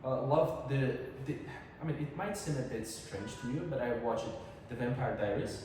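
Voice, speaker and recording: A man's voice: medium pitch, lively intonation, moderate pace, a little mellow. A young man with an Uzbek accent, a guest in a casual chat, talking earnestly with many hesitations and restarts. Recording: echoey room, far from mic, background noise.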